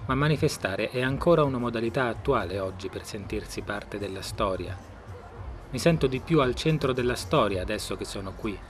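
A man's voice: on the low side, expressive, a little fast, soft background music. A man in his forties giving first-person, reflective scripted narration for a radio documentary. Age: 40s.

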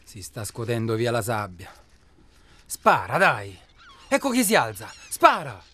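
An actor playing an impatient, gruff hunting guide in a naturalistic radio drama, terse and blunt. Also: male voice, medium pitch, huge pitch swings, unhurried, sharp tone, exaggerated voice. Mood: impatient, urgent. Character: impatient, gruff male guide urging a hunter to shoot.

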